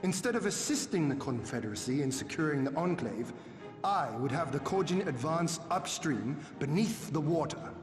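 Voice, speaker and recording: An actor playing a resolute young lord in a fantasy game, formal and commanding in his deliberate speech. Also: on the high side. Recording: roomy, long reverb, clear music behind.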